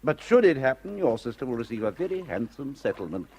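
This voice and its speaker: An actor playing a suave, condescending villain in a radio drama, urbane and patronising with old-fashioned upper-class phrasing. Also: male voice, mid-range voice, big pitch swings, quick pace, darkish tone, slightly rough. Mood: smooth, smug. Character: suave, condescending male villain, ruthless and money-minded.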